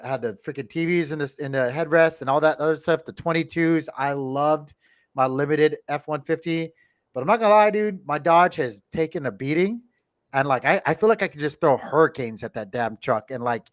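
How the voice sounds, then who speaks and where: A man from Hawaii hosting a casual livestream chat from a home studio on a boom mic, laughing often and repeating himself. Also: normal speed. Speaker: host. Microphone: headset with boom mic.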